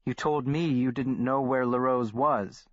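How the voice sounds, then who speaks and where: Man voicing earnest sergeant, slow, dry dull recording. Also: medium pitch, fairly even intonation, no echo, voice pushed up, close to an everyday voice. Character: startled male police sergeant and translator.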